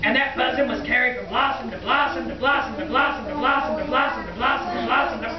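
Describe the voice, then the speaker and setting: A woman with a fairly high voice tells a children's story live, in a theatrical way. She sounds distant from the microphone, in an echoey room with an audible crowd. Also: fast talker, muffled recording. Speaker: children's puppet show storyteller. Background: audience of children.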